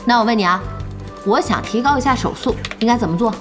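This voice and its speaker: A woman acting a brash, bossy young internet-cafe boss and game fangirl in an audio drama, blunt, animated and teasing. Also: fairly high pitch, quick pace, close to an everyday voice. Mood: curious. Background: music behind the voice.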